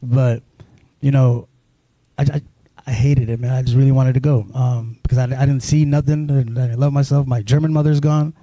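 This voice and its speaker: A man from Sudan tells his story in a very dark, mellow voice, stumbling over restarts and leaning on "you know" as he goes. The sound is dry and close, with no room around it. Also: medium pitch, steady tone, moderate pace, dry recording. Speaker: guest. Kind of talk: personal storytelling interview.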